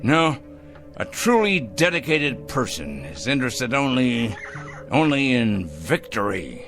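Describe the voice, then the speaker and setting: An actor playing a fanatical, self-righteous male vigilante in a radio drama, swinging from hushed murmurs to shrill outbursts. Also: big pitch swings, slow pace, heavily acted. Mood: zealous. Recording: faint music score behind.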